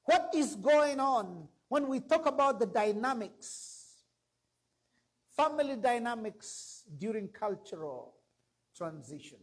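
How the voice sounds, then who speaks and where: A man's voice: very high-pitched, sing-song, takes it slowly, breathy edge. A middle-aged man preaching a sermon from a church stage into a handheld mic, emphatic and rising to exclamations. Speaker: pastor.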